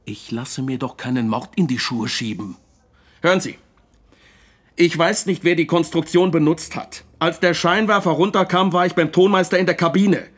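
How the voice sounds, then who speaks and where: An actor playing a defensive, blustering film producer under questioning, with a husky voice, in a radio drama. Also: male voice, a little high, moderate pace. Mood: aggrieved, angry. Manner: aggrieved, blustering.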